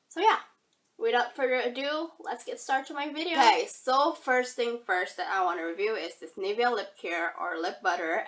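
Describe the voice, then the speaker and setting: Young female beauty vlogger, husky cold-roughened voice, upbeat, rambling delivery, recorded on a camera close by. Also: medium pitch, a bit bright. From Indonesia. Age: young adult. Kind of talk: casual product review vlog. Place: bedroom, indoors. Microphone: camera facing her at arm's length.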